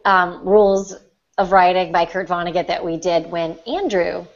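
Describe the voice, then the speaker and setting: A woman's voice: on the low side, moderate pace. A woman chatting casually as a panelist on a podcast. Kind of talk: casual chat. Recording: dull lo-fi audio.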